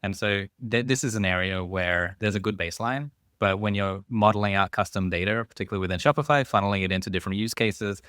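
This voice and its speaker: Male expert panelist, very low clean voice, dry recording, conversational and explanatory. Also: fast talker, few pauses, fairly bright tone, smooth, no echo.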